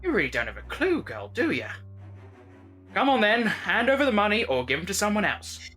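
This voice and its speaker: A man playing a cheeky, excitable working-class Victorian doss-house keeper in an audio drama. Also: high-pitched, fairly quick, breathy edge, heavily acted. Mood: brusque, cheeky. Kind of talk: period audio drama acting. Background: music.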